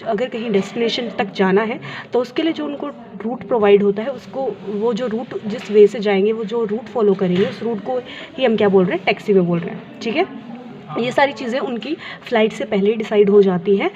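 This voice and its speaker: A woman's voice: fairly high pitch, a little fast, mellow. A woman teaching a school lesson, explaining step by step and asking questions that she answers herself. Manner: teacherly, asks and answers own questions, mixes in English terms. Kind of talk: explanatory lesson, reading and paraphrasing textbook. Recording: dull lo-fi with clear background noise.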